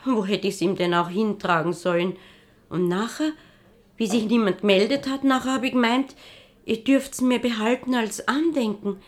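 An actress playing an old Viennese washroom attendant. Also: female voice, on the high side, moderate pace, close to an everyday voice. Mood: flustered. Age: older. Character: old female washroom attendant, simple, kind-hearted. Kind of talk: radio play acting.

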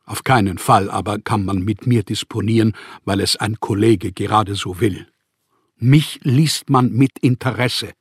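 An actor playing a proud, embittered male writer and journalist in a radio play, speaking formal letters with emphatic, declarative phrasing and a husky voice. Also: fairly low pitch, dry recording, clean studio sound. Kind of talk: radio play acting, reading letters aloud.

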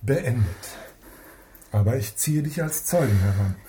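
An actor playing a stern, suspicious police superior, curt and authoritative, in a radio crime drama. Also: male voice, medium pitch, lively intonation, measured pace, dark tone, slight background noise, close to an everyday voice. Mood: angry, accusing.

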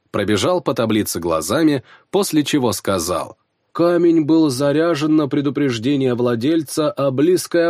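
A man narrating first-person fiction as an audiobook reader, in an expressive storytelling manner. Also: on the low side, no room sound, clean studio sound.

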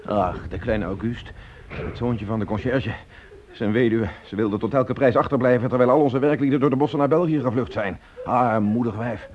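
A man's voice: mid-range voice, normal speed, natural voice. An actor playing a kindly middle-aged manufacturer in a radio play, fatherly, earnest and stubborn. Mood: earnest, resolute. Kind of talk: radio drama acting. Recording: dull, noisy old radio play recording.

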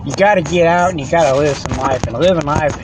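A man with a slightly high voice rambles in a folksy, easygoing way, laughing often and tagging his points with "okay?". He talks into a tablet from a parked car. Faint outdoor noise sits behind him. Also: quick pace. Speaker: host of a comedy podcast segment. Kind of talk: rambling casual monologue. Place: in a parked vehicle in a parking lot.

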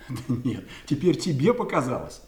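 A man's voice: mid-range voice, a little mellow. A man playing a jovial, skeptical father in a children's audio play, joking and theatrical. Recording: echoey, faint noise.

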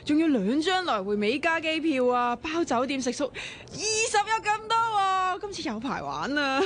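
An actress playing a sharp-tongued, bossy, excitable young woman in a radio drama, chatty and expressive, with a Hong Kong Cantonese accent. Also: high-pitched, moderate pace, a little hiss or hum. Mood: ecstatic.

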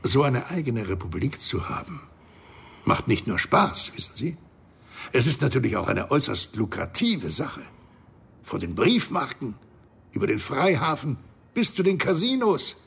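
An actor playing a shady, condescending crime boss in a radio thriller, with a husky, gravelly voice and a smug, wordy manner. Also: male voice, mid-range voice, very animated, moderate pace, fairly bright tone, exaggerated voice. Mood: smug, businesslike. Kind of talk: radio crime drama acting.

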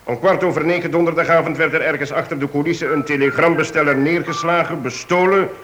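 Actor as a composed, formal police chief. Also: male voice, a little high, steady tone, a bit bright, a little hiss or hum, lightly acted. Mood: firm, questioning. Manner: measured, formal, courteous. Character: composed, courteous, firm male police chief inspector. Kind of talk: radio drama acting.

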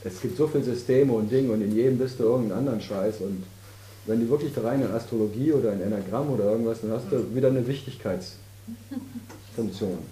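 A man's voice: medium pitch, expressive, a little fast, warm tone, slight background noise. A man in his fifties, a spiritual teacher in a casual, joking talk with his audience, teasing and rambling between jokes and explanations. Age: 50s. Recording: roomy hall with audience.

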